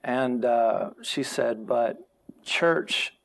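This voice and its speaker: A man in his thirties with a gravelly, creaky voice of middling pitch. He talks earnestly like a pastor on a studio talk show, close on a lapel mic. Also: drawn-out words, rough recording. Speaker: pastor, programme guest. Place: TV studio, seated on a sofa.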